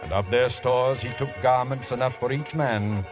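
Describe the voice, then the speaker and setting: An actor as a solemn biblical storyteller, narrating a 1950s radio drama in a stately, dramatic manner. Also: male voice, medium pitch, moderate pace, lightly acted. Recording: old radio broadcast with orchestral music.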